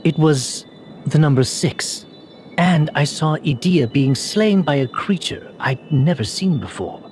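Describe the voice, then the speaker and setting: An earnest young man from a video game, haunted by doubt. He speaks slowly and haltingly, with pitch that swings dramatically. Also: animated, darkish tone, a little hiss or hum. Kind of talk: English video game voice acting.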